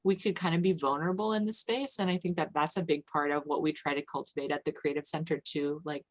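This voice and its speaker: Woman, low voice, discussion moderator, thoughtful, softened phrasing, small hesitations. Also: fairly even intonation, fast. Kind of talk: post-show discussion moderating.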